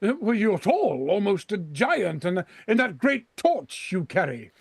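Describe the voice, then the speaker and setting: An actor playing a frightened, repentant old miser in a vintage-style radio drama, pleading and stammering in a quavering voice. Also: male voice, sits high, sing-song, a little slow, gravelly, breathy edge, no echo, clean studio sound, exaggerated voice. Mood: nervous, humbled.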